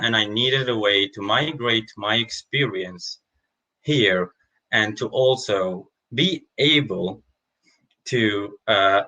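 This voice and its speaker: A man's voice: fairly low pitch, pushed and bright, poor audio. A man from Syria, co-hosting, direct with a light joke, introducing a guest. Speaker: co-host. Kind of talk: scripted introduction.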